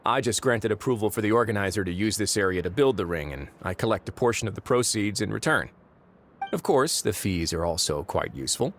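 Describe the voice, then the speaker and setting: An actor playing a composed male prison warden in a game, with a measured, dry and authoritative way of talking. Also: fairly low pitch, expressive, a little hiss or hum. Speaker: prison administrator. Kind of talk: game voice acting. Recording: studio game audio with faint music.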